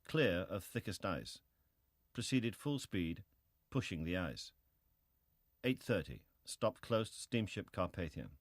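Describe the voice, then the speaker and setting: A ship's captain on the witness stand, with a low voice recorded dry. He is clipped, restrained and matter-of-fact, in the style of dramatized testimony. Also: big pitch swings, takes it slowly, no room sound, heavily acted. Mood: terse, restrained. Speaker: actor reading hearing testimony. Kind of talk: dramatized reading of historical testimony.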